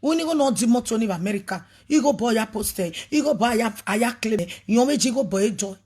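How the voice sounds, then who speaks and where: A woman's voice: a little high, expressive, fast talker, darkish tone, slightly rough. A woman, a ministry leader talking on a live video, preachy and emphatic, mixing languages. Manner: mixes pidgin, English and a local language, preachy and emphatic. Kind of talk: animated livestream talk.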